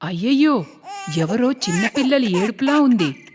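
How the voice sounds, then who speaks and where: A woman's voice: a little high, lively intonation, measured pace. A woman playing a kind widowed vegetable seller and adoptive mother in an emotive, exclamatory moral story cartoon. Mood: distressed, pitying. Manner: emotive, exclamatory, gentle with her child. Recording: long reverb, music underneath.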